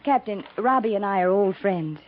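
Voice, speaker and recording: A slightly muffled 1950s radio drama with faint noise. An army officer's wife, acted by a woman speaks with swooping, animated pitch. She is gracious, eager and polite. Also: normal speed, close to an everyday voice. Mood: gracious, pleased. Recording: dull, noisy 1950s radio recording.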